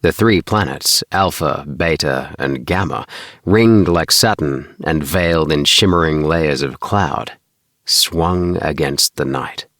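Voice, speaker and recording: A man reading a bedtime story as scripted narration, in an unhurried manner with the occasional stumble. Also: a bass voice, fairly bright tone, dry recording, clean studio sound. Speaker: audiobook reader.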